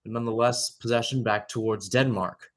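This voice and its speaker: A man's voice: medium pitch, fairly even intonation, brisk. A young man giving live radio-style soccer play-by-play, drifting into conversational, rambling asides and correcting himself as he goes. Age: young adult. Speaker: play-by-play commentator.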